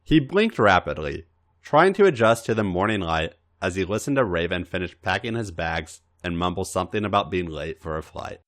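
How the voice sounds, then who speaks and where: A man's voice: deep voice, expressive, normal speed, fairly bright tone. A man reading fiction aloud in a theatrical, emphatic narrating style. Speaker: podcast host reading fan fiction aloud. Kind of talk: dramatic audiobook-style narration.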